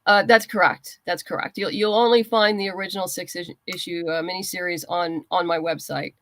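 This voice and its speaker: A woman's voice: lowish voice, fairly even intonation, fairly quick, a bit bright. A woman being interviewed as a writer, giving explanatory, conversational answers.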